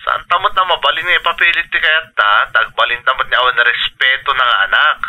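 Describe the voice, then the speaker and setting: A man's voice: mid-range voice, a little fast, dull audio. A man with an Ilocano accent playing a concerned, frustrated elder brother through a telephone filter in a radio drama. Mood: resigned, concerned.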